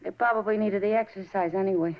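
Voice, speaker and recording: A woman's voice: mid-range voice, steady tone, fast, lightly acted. An American actress playing a flippant, slangy young woman in a 1950s radio drama, bored and scornful. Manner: offhand, sassy, slangy. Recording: dull 1950s radio recording.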